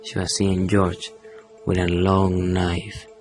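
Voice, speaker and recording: A man's voice: sits low, completely flat delivery, slow, a little mellow. A young man, a native Spanish speaker with a Chilean accent, narrating a scripted horror radio play in a dramatic, suspenseful way. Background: prominent music.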